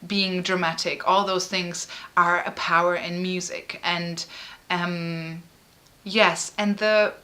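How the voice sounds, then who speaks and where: Bright-voiced woman, halting and emotional. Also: lowish voice, little pitch movement. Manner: halting and emotional, frequent fillers and restarts. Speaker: artist and musician. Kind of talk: personal interview.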